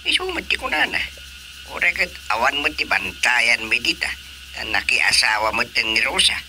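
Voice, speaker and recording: A coaxing elderly father with a very bright, forceful voice at middle pitch. He has an Ilocano Filipino accent and acts in radio drama style, with faint noise behind him. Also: sing-song, normal speed. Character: elderly father, village leader, coaxing and plain-spoken.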